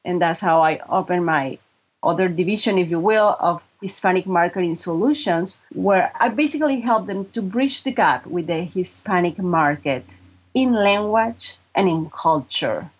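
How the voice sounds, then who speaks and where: Husky woman guest. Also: fairly low pitch, poor audio. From Mexico. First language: Spanish. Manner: earnest, restarts often, halting then quick. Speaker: guest, voiceover artist and marketing consultant. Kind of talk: interview conversation.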